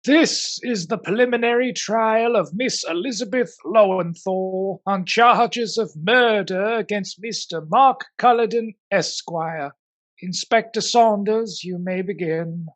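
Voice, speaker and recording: Female actor, formal judge, unhurried, authoritative, mid-range pitch, courtroom radio drama. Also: close to an everyday voice. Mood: stern, official. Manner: measured, authoritative. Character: stern, formal presiding judge. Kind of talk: period radio play acting.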